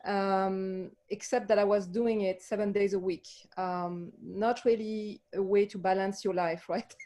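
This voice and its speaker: A woman's voice: mid-range voice, steady tone, unhurried, warm tone. A woman with a French accent, a life coach speaking as a guest in a passionate, reflective way with frequent ums. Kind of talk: casual interview chat.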